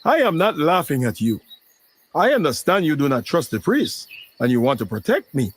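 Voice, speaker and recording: A woman voicing a kind, commanding king speaking to his daughter, in a deep gentle voice. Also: sing-song, a little fast, husky, heavily acted. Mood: gentle, fatherly. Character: deep commanding old king, warm father.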